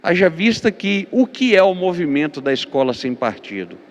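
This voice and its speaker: A man's voice: high voice, sing-song, moderate pace, big-room sound, a little hiss or hum. A Brazilian man with a Minas Gerais accent, a pastor giving a lecture-style teaching, hesitating with fillers and repeated words, then driving his points home with emphatic lists. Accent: Brazilian Portuguese, Minas Gerais.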